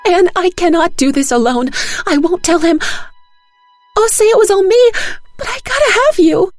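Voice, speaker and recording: A woman's voice: very high-pitched, expressive, normal speed, dry recording, soft background music, voice pushed up. A woman voicing a distraught woman who pleads tearfully in an audio story, breaking down in despair. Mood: panicked, tearful.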